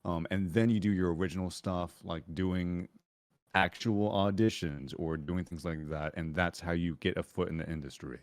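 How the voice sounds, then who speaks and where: A man's voice: low voice, fairly even intonation, normal speed, dry recording. A man in a casual panel chat giving earnest, explanatory advice as a voice actor and podcast guest.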